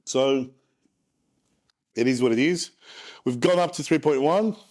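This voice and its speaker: A man's voice: mid-range voice, a little slow, darkish tone. A man in his forties with a frank manner and joking asides, casually presenting charts as a property investment presenter. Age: 40s.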